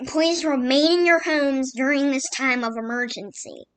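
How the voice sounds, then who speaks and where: A woman reading a scripted mock emergency broadcast as an announcer, in a formal, halting manner. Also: high voice.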